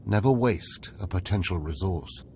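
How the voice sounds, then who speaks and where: An actor playing a stern, impatient Sith overseer in a video game, imperious, clipped and lecturing. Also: male voice, sits low, expressive, normal speed, creaky, mellow, a little hiss or hum. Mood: approving. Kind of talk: video game cutscene acting.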